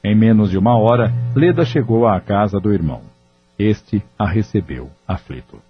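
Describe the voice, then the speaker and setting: A man narrating an audio novel in a measured, grave manner. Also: fairly low pitch, animated. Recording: muffled lo-fi audio with music.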